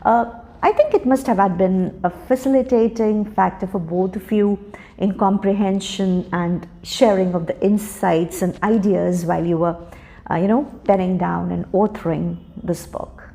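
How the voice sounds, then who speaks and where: A woman's voice: medium pitch, steady tone, normal speed, gravelly, dark tone. A woman hosting an interview show, formally introducing her guests and asking questions. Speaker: interview host.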